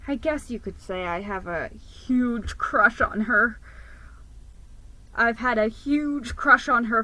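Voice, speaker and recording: A woman giving a theatrical, expressive reading of a children's story as its narrator. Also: fairly high pitch, poor audio. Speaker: story reader.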